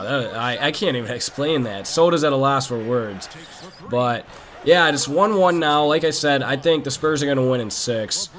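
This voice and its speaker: A hoarse man from Minnesota, hosting a gaming channel and chatting casually about basketball over gameplay, opinionated and talking straight to his viewers. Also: medium pitch, fairly bright tone. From Minnesota, USA.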